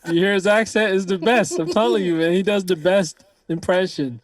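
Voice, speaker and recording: A man's voice: high-pitched, moderate pace, a crowd in the background. A man hosting a casual podcast, talking in an easygoing, slangy way and hyping up his guest. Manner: easygoing hype talk, calls people dog. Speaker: podcast host. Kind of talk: casual chat.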